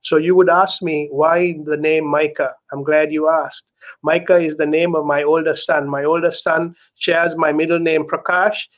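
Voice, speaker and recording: A middle-aged man from Sri Lanka speaks earnestly as an interview guest, often tagging his points with "right?". His voice sits somewhat high. The video call audio is dull and muffled. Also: little pitch movement, moderate pace, a bit bright. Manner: earnest, keeps adding "right?" to check in. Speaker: author and guest. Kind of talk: candid conversational interview talk.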